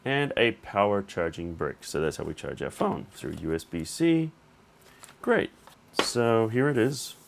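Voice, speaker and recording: A man with a slightly hoarse voice hosting a casual unboxing vlog, thinking aloud in an informal, conversational way. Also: fairly low pitch, very animated, unhurried, slight background noise. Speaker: tech reviewer and channel host.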